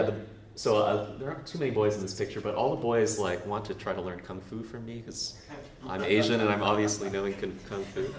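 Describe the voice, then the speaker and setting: A young man with a notably low voice gives a relaxed talk in a hall, laughing at himself and filling pauses with "um" and "uh." He stands well back from the microphone, so his words ring in the roomy space. A faint hum sits under his speech. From Canada. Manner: casual and self-deprecating, with many fillers. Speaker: presenter. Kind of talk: church talk with slides. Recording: roomy, far from the mic, faint noise.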